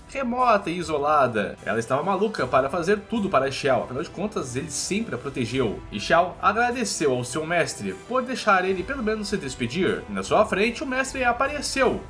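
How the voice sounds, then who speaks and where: A man's voice: fairly high pitch, fairly bright tone. A man with a Brazilian accent narrating a scripted story recap in informal, colloquial storyteller phrasing.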